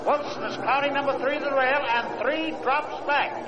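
An actor playing an excited race-track announcer giving urgent play-by-play in a 1940s radio drama. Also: male voice, very high voice, moderate pace, lo-fi sound. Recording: roaring cars and crowd, noisy radio.

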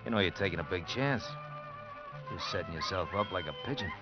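A low American male voice belongs to a shady bar fixer, sly and guarded, feeling out a deal in clipped hints. The vintage radio sound is dull, with music behind. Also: expressive, brisk. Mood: sly, insinuating. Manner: hesitant, insinuating, clipped tough-guy talk. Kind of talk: 1940s-50s radio drama acting.

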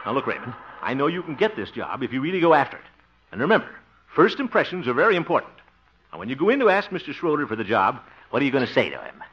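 An actor playing a blustery, hopeful older cousin in a 1950s radio sitcom, exclaiming theatrically. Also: male voice, medium pitch, very animated, fast, breathy edge. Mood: coaching. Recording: muffled, hissy 1950s radio recording.

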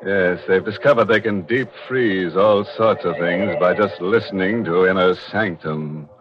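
Deep-voiced theatrical 1940s radio horror host, man. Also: unhurried. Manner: theatrical, tongue-in-cheek macabre. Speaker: radio mystery show host and narrator. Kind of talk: 1940s radio horror hosting, scripted narration. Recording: dull lo-fi 1940s radio recording. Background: music.

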